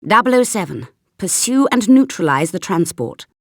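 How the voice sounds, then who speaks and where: A female actor playing a stern, older British intelligence chief in a video game, formal, measured and commanding. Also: mid-range voice, very animated, no room sound, clean studio sound. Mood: grave, commanding. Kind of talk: scripted video game mission briefing.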